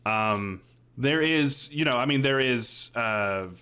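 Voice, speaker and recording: A man's voice: medium pitch, slow, bright, forceful tone, clean tone. A man in his thirties co-hosts a podcast with an ordinary mid-range voice. He reviews shows informally, rambling a little as he goes, speaking from a room at home over a video call. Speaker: podcast co-host. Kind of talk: casual chat, reviewing TV shows.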